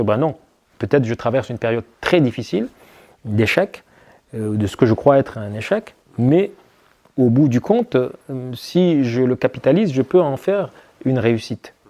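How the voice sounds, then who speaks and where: A man's voice: mid-range voice, mellow. A man as an interview guest, answering reflectively with many hesitations and restarts. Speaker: guest, army officer.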